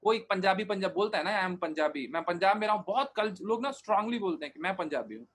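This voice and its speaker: High-voiced earnest man explaining at length. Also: expressive, quick pace, a bit bright, breathy edge. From Bihar, India. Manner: earnest, explains at length with many restarts and fillers; mixes English words and phrases into his speech. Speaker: interview guest, digital marketer. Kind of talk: casual interview talk.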